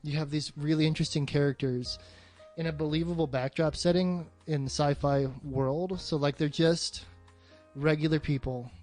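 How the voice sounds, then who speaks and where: Higher-voiced man, casual and sweary. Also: steady tone, normal speed, darkish tone, faint music behind. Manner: swears freely, slangy. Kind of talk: casual chat about films.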